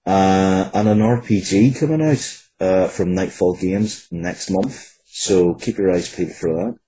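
Low-pitched man in casual interview. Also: measured pace, mellow. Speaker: guest, writer of fiction, comics and games.

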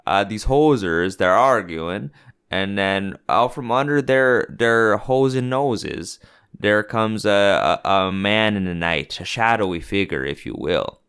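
A man's voice: on the low side, slow pace, a bit bright, clean tone. A young Canadian man with a Canadian accent, co-hosting a podcast in casual, sarcastic, rambling chat full of fillers. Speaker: podcast co-host.

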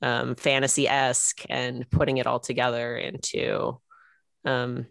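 Casual low-voiced woman in her thirties, dry sound. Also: flat delivery, a little slow, creaky, fairly bright tone, dry recording. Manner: informal, giggly, punctuates talk with small laughs. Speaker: filmmaker, interview guest. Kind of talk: casual chat.

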